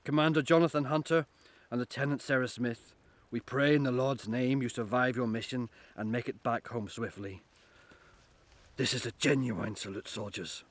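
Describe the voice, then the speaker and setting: An actor playing a solemn, earnest middle-aged headmaster in an audio drama, with a Northern Irish accent and a measured, oratorical manner. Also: male voice, medium pitch, steady tone, a little hiss or hum, close to an everyday voice. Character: solemn, rousing male headmaster of a post-apocalyptic school.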